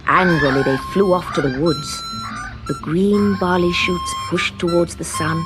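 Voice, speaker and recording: A woman reading a scripted children's story in an expressive, deliberate storytelling manner. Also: fairly low pitch. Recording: background music under the reading.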